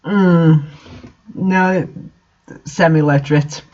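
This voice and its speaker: A man's voice: fairly high pitch, fairly even intonation, extremely slow, a little mellow, highs cut off. A man hosting a comic reading of bad fanfiction, commenting casually in a bemused, hesitant, mocking manner.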